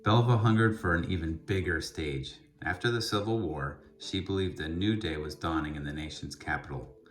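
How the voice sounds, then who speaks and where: A man reading a children's book aloud in an expressive storytelling style, with deliberate, emphatic phrasing. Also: low voice, faint music behind.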